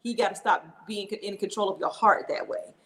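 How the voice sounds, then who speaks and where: A middle-aged woman hosts a relaxed talk show. She speaks in a candid, reflective way and laughs easily, at an ordinary pitch. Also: fairly quick, slight background noise. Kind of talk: casual candid conversation.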